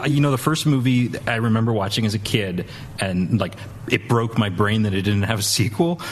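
A man speaking casually as a guest panelist, halting and thoughtful in delivery. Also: fairly low pitch, slight background noise.

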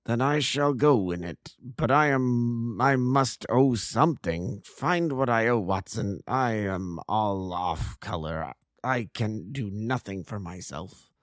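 A man reading a story aloud, voicing a befuddled, tearful man fogged by opium who talks in a slow, confused, pleading way. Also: fairly low pitch, animated, no room sound, clean studio sound. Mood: fretful.

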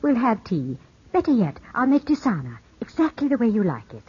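An actress playing a poised, soothing widowed cousin who coaxes gently, in 1950s radio drama style on an old broadcast recording. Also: female voice, fairly high pitch, big pitch swings, a little fast, slightly rough, full character voice. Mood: gently firm. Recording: muffled 1950s radio broadcast, music behind.

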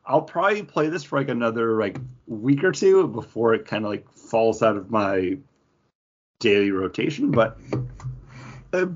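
Rambling man with a husky voice. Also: medium pitch, normal speed, lo-fi sound. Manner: rambling, animated, acts out tapping through menus. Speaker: podcast co-host. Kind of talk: casual chat.

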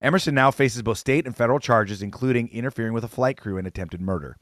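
A man reporting news on a casual talk show, earnest and halting, with many fillers. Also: mid-range voice, fairly bright tone, no room sound, studio quality. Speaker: correspondent. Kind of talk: casual panel chat.

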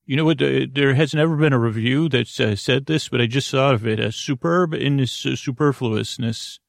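A man hosting a sleep podcast in a creaky, lulling voice, meandering haltingly through tangents and interrupting himself as he goes. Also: medium pitch, no echo, studio quality. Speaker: podcast host. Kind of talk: rambling bedtime sleep podcast monologue.

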